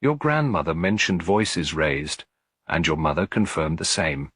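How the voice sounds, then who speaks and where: A man voicing a gentle, shrewd elderly English lady detective in an audiobook, soft and politely probing. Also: extremely low pitch, expressive, measured pace, husky, no echo, clean studio sound, full character voice. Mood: gently probing.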